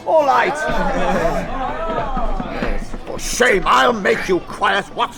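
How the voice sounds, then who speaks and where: A man's voice: expressive, gravelly, a loud crowd, full character voice. An actor playing a jovial, blustering old nobleman who is hosting a feast, in a Shakespearean audio drama. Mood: scolding, blustering. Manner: expansive, booming, chuckling, quick to scold. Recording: loud music behind the voice.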